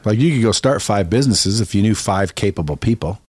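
A man's voice: lowish voice, expressive, a little fast, a little mellow, breathy edge, dry recording, clean studio sound. A man talking casually as a podcast guest and entrepreneur, blunt and self-assured, with a salesman's way of putting things and some mild swearing.

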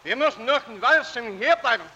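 An actor playing a curt, formal German ship captain with a German accent and clipped, barking speech, in 1940s radio drama style. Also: male voice, very high voice, lively intonation, brisk, bright, forceful tone, slightly rough, muffled recording, heavily acted. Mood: stiffly formal. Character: curt German merchant ship captain, stiff enemy officer. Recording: noisy old radio broadcast.

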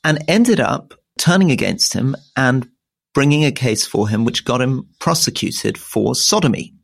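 A man's voice: measured pace, vocal fry, husky, no room sound. A man in his sixties, co-hosting a podcast and talking through political history in a thoughtful, digressive way. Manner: thoughtful, digressive, rises in pitch when animated. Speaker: podcast co-host. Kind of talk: political discussion.

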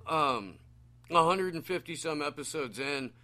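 Male interviewer, slow and bright, casual and agreeable, fond of fillers. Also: fairly high pitch, animated. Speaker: podcast interviewer.

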